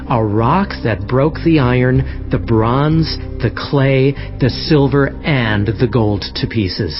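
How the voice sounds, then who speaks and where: An actor playing an earnest prophet interpreting a king's dream in a solemn, emphatic scripture drama. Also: mid-range voice. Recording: dull band-limited audio under music.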